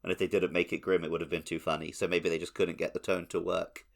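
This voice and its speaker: Lower-voiced man in casual podcast chat. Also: flat delivery, fast, creaky. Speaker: podcast co-host.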